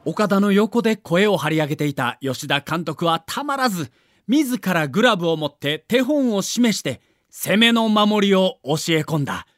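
A man's voice: high voice, lively intonation, normal speed, fairly bright tone, dry recording, studio quality. A man giving dramatic scripted narration for an audio documentary.

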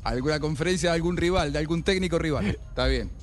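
A man's voice: on the high side, quick pace, a little hiss or hum. A man with an Argentine Spanish accent, asking questions as a sports radio interviewer in a conversational style.

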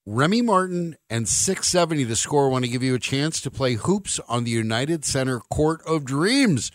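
A man's voice: mid-range voice, animated, moderate pace, dry recording. An American man hosting a sports talk radio show, with a wry, opinionated and emphatic delivery.

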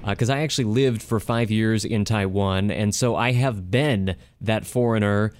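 A man's voice: fairly low pitch, normal speed, no room sound, clean studio sound. A man hosting a radio interview show, speaking in a conversational, emphatic presenter style. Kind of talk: scripted radio introduction and interview.

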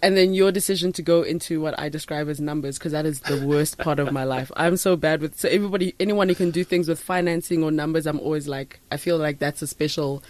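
Female podcast host; warm-toned, dry studio sound, easygoing and chatty. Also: low-pitched, quick pace, few pauses, dry recording. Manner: chatty, laughs easily, lots of backchannel mm-hmms. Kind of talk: studio interview and scripted intro. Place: radio studio.

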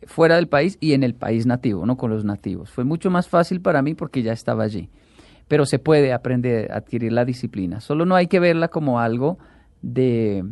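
A man, a talk show guest who tells animated stories and acts out the quotes. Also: mid-range voice, no room sound. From Colombia. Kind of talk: casual chat.

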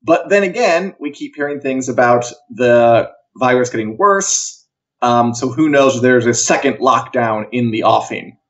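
A man's voice: medium pitch, lively intonation, moderate pace. A man co-hosting a casual pop culture news chat in a wry, jokey, chatty way, over a video call. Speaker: co-host of a pop culture live show. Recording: dull, lo-fi sound.

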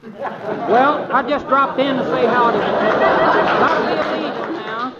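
Noisy old radio comedy, dim folksy rustic neighbour, very high voice, drawling slowly. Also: male voice, a loud crowd. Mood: amiable, oblivious. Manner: folksy, drawn-out delivery. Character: slow-witted, folksy rustic male neighbour. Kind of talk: 1940s radio comedy acting. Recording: noisy muffled radio recording, studio audience.

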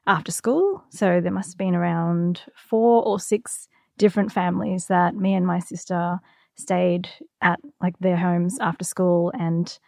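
A woman's voice: lowish voice, steady tone, unhurried, creaky, darkish tone, dry recording, studio quality. A woman, recalling things conversationally as a guest in an interview. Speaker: podcast guest, illustrator.

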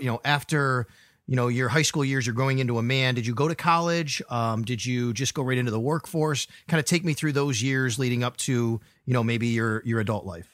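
A man's voice: medium pitch, a bit bright, dry recording. A male podcast host with a mid-range voice, recorded close and dry with no room sound. He keeps things chatty and encouraging, drawing his guest out with friendly questions. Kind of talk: sports podcast interview.

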